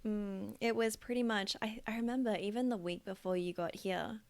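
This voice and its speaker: A woman's voice: medium pitch, steady tone, moderate pace, creaky, no room sound. An Australian woman in her thirties, co-hosting a casual podcast in a chatty way with frequent fillers and easy laughter. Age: 30s. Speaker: podcast co-host. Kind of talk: casual chat.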